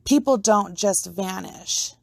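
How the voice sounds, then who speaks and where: A woman's voice: mid-range voice, slow pace, gravelly, no echo. A young woman hosting her own podcast, talking earnestly and a little ramblingly, with casual swearing. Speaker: podcast host. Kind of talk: casual personal talk to camera.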